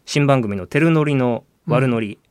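A man's voice: mid-range voice, moderate pace, darkish tone, slight background noise. A man co-hosting a casual radio interview, politely and deferentially putting questions with frequent short acknowledgements. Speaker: radio co-host interviewing the main host.

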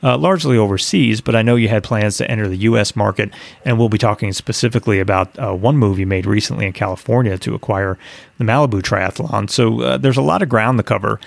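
A man's voice: on the low side, fast, no echo. A man hosting a podcast interview, reading a scripted intro and asking questions. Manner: smooth scripted intro, then relaxed questions. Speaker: podcast host.

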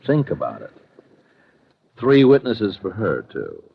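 A suave male club boss with a deep voice that swings widely in pitch. He is formal, condescending and coolly menacing. It is a dull 1950s radio recording with faint noise. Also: huge pitch swings, slow, gravelly, heavily acted. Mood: guarded, sly. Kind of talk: 1950s radio crime drama acting.

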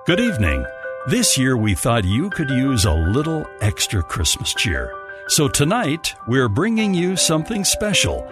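Highly animated man telling a holiday story. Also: measured pace, music in the background. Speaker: storyteller and radio-show narrator. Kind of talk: scripted holiday story narration in old-time radio manner.

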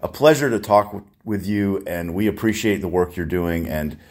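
A man's voice: low voice, moderate pace, a little mellow. An American man from New York state, a radio host, interviewing in a casual conversational way. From Buffalo, New York, United States.

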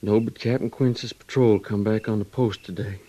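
An American actor playing a worried, tender young cavalry trooper husband in an old-time radio drama, with a folksy rural way of talking. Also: male voice, fairly low pitch, steady tone, moderate pace, no echo, close to an everyday voice. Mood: troubled, earnest. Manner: folksy rural drawl, gentle and earnest.

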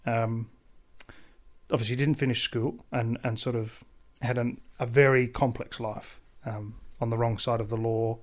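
A young Australian man in his twenties, a podcast guest who talks with rich, formal diction in a measured, articulate way. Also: mid-range voice, level tone. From central New South Wales, Australia. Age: 20s. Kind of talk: casual interview chat.

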